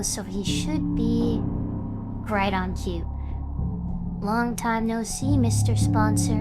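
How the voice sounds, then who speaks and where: A woman's voice: a little high, lightly acted. A woman acting a cynical, scheming character in a sardonic, calculating dramatic monologue. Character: cynical, scheming woman plotting to trap a rich man.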